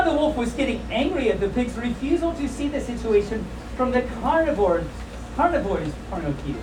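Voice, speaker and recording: A woman with a fairly high voice hosts a live storytime in a playful, joking way. She moves between reading aloud and chatty asides. She is recorded at a distance in an echoey room with clear background noise. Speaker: live storytime host at a fan convention. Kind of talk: reading a comic children's story aloud to an audience, with casual asides. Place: indoor convention room with audience. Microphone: distant camera filming a live event.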